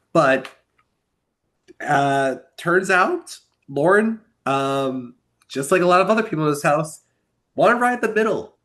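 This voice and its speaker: A young man with a stuffy, congested voice from a cold hosts his own podcast. He rambles through his commentary and breaks into exasperated asides, his voice sitting at an ordinary middle pitch. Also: fairly bright tone. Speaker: podcast host. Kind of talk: solo recap commentary.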